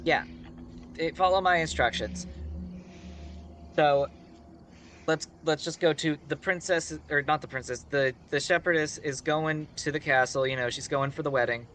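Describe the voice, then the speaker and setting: Bright-voiced man telling a rambling story. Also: fairly high pitch, normal speed, pauses often, slight background noise. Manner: rambling, conversational asides. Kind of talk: casual improvised storytelling.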